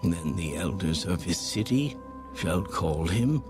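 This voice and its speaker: A man narrating scripture from a script, solemn and deliberate, with measured phrasing. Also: low voice, animated. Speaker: audio Bible narrator. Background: background music.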